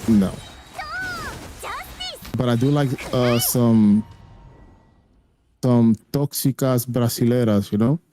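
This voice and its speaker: A man's voice: mid-range voice, fairly even intonation, slow pace, pauses often, dark tone. A young man, a native Spanish speaker, chatting casually as a gaming streamer in a blunt, slangy way and swearing freely. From raised by a Spanish-speaking family, living in the US.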